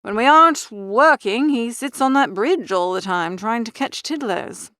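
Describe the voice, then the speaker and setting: A woman plays a hapless young gentleman in a comic audiobook, hopelessly lovesick. The voice is high and swooping, earnest and wistful, in a dry, close recording. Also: lively intonation, measured pace, dry recording. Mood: hesitant, earnest. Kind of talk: audiobook character reading.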